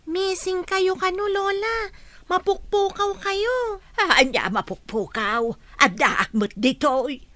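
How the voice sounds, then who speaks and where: Old grandmother for radio drama, high, slow and bright, lively lilting delivery. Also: no room sound, heavily acted. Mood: bewildered. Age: older. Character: old grandmother, forgetful and gentle.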